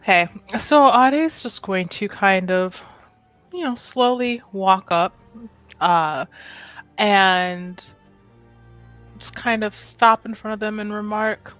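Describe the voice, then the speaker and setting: A woman playing a tabletop roleplaying game, thinking aloud in a halting, apologetic way as she narrates. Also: medium pitch, a bit bright, no echo, faint music behind. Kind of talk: improvised actual-play roleplay, casual narration.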